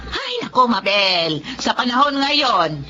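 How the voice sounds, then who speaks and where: A woman's voice: fairly high pitch, very animated, takes it slowly. A woman playing a shrewd, greedy, practical eatery owner with a Filipino accent in a radio drama. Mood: dismissive. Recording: muffled radio drama with music underneath.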